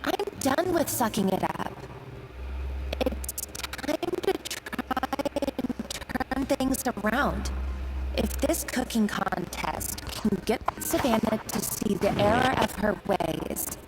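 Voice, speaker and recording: A woman playing an earnest, gentle but resolved young chef in an anime game, speaking sincerely. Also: a little high, expressive, takes it slowly, noisy background. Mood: determined, hopeful.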